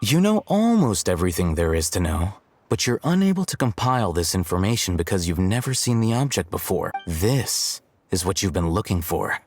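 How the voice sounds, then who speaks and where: A man playing an aloof, shrewd young scholar in a video game, speaking in a measured, dry, articulate and condescending way. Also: deep voice, very animated, a little hiss or hum. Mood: detached, explanatory. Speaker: game character voice. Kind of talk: scripted game cutscene dialogue. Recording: music behind the voice.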